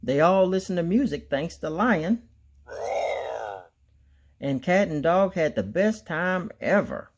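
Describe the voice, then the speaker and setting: A man narrating a children's picture book aloud in an expressive storytelling style. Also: high voice, takes it slowly, long pauses. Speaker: storybook reader.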